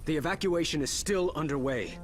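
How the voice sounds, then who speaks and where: A man's voice: fairly high pitch, a little fast, music in the background, natural voice. A man playing an earnest, worried military leader in a video game cutscene, with a pleading manner.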